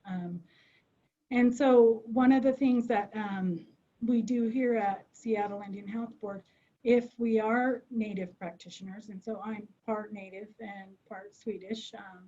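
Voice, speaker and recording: Woman giving a webinar talk, mellow husky voice, lots of ums, earnest, muffled audio. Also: medium pitch, steady tone, measured pace. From United States. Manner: earnest, many "um" fillers, thinks aloud. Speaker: behavioral health clinician presenting. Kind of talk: webinar presentation. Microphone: video call.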